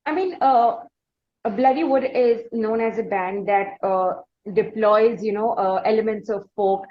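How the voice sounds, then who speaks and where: A woman's voice: mid-range voice, unhurried. Indian woman interviewer, slightly dull audio. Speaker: interviewer, podcast host. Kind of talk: music interview, long conversational questions.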